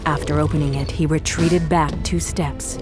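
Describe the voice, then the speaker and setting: A woman's voice: low voice, steady tone, moderate pace, over music, close to an everyday voice. A woman narrator reading scripted, dramatic narration for an adventure audio drama.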